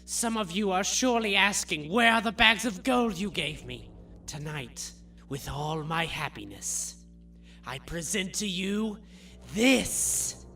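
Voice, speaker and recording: A man's voice: high voice, expressive, slow, creaky, bright, forceful tone, recorded from a distance, soft background music, exaggerated voice. A man playing a smarmy, scheming, fat small-town mayor giving pompous speeches in a comic audio drama.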